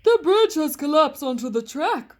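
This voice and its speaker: A woman voicing a brisk, practical toy train driver in a children's storybook, speaking emphatically and urgently. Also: high-pitched, sing-song, voice pushed up.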